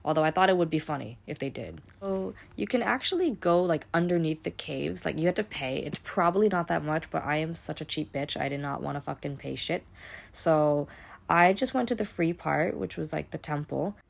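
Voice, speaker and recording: Vlogger, young American woman, deep with vocal fry, chatty and unfiltered, swears freely. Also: expressive, moderate pace. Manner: chatty, rambling, heavy use of "like", casual swearing. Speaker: travel vlogger. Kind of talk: casual travel vlog voice-over.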